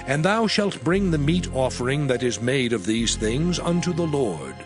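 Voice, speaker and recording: A male narrator reads scripture as a scripted, dramatized audio Bible. His delivery is solemn and formal, with measured phrasing and a widely varied intonation at a medium pitch. Music plays clearly behind his voice. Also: big pitch swings. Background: background music.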